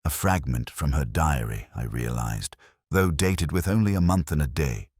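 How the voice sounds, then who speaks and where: A man reading a first-person detective story in a measured, reflective way. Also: rumbling low, animated, a little mellow, slightly rough, dry recording, studio quality. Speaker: narrator.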